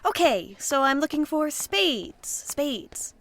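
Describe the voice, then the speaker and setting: A woman playing a sweet, flustered, soft-hearted undercover spy in a comedy audio drama. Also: high-pitched, sing-song, takes it slowly, vocal fry, no room sound, heavily acted.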